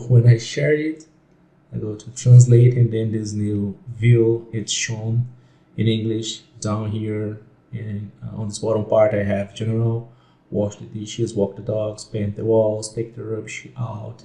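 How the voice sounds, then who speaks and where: A man gives a relaxed design tutorial, thinking out loud and correcting himself along the way. His voice is low, dark and gravelly, and his delivery is slow. The sound carries noticeable room echo. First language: Portuguese. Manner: relaxed, thinking aloud with fillers and self-corrections. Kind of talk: screen-share software tutorial.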